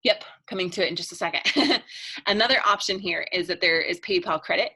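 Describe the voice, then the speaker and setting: A woman marriage coach giving a chatty, upbeat pitch in a live online webinar, laughing between points and losing her voice. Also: brisk, sharp tone, slightly rough.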